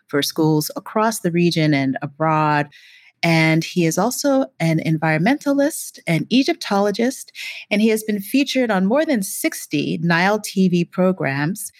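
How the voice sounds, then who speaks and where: A woman's voice: on the low side, dry recording, studio quality. A woman hosting an interview, introducing her guest and prompting him with encouraging questions. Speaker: host.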